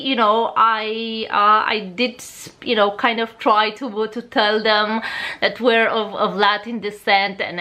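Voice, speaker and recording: Bright-voiced Romanian woman vlogger. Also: medium pitch. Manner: hesitant, many fillers and restarts. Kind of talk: casual storytelling.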